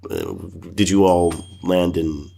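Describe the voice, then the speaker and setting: A man with a fairly low voice works as a podcast co-host. He interviews in a loose, conversational style and encouragingly repeats bits of the answers back. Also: fairly even intonation, slow pace, creaky, warm tone.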